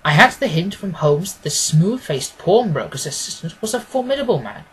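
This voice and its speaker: A man's voice: high-pitched, big pitch swings, normal speed, fairly bright tone, husky. A man reading scripted literary narration as an audiobook reader.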